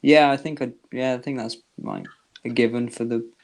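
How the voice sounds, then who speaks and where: A man, chatting casually in a quiet, laid-back way. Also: medium pitch, fairly even intonation, normal speed, roomy sound. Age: 20s. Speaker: podcast co-host. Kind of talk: casual chat.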